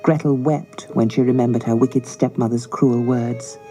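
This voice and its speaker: A woman narrating a children's storybook in a measured, expressive, scripted style. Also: extremely low pitch, soft background music. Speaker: audiobook narrator.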